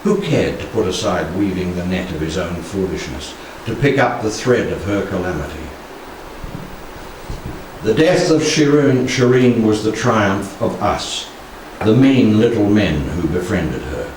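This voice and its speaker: A man's voice: low voice, expressive. Echoey older man solemnly reciting poetry. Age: 60s. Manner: measured, dramatic phrasing with pauses. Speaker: poetry reader. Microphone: handheld stage microphone. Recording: very echoey room, distant, faint noise.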